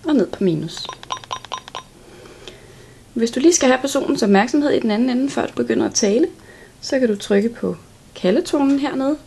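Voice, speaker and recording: Higher-pitched woman with creaky voice. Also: warm tone, a little hiss or hum. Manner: plain step-by-step explaining. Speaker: product demonstrator. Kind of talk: instructional product walkthrough.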